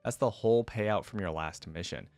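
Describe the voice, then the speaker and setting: A man's voice: fairly low pitch, moderate pace, creaky, a little mellow, no room sound. A man reading aloud the storybook lines of a stern, disapproving older woman captain in a reproachful manner. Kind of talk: storybook read-aloud dialogue.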